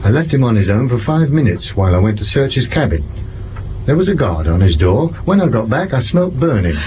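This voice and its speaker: An actor playing a gruff, sceptical and commanding French police commissioner in an audio drama. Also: male voice, mid-range voice, sing-song, a little fast, mellow, close to an everyday voice. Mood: weary, gruff. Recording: dull sound over noisy ambience.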